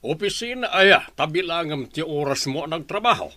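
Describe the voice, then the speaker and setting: A man plays a stingy village employer full of scorn in an audio drama. His voice is sharp and very animated, with an Ilocano accent, recorded close and dry. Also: a little high, normal speed, no echo. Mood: scornful. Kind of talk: Ilocano radio-style drama acting.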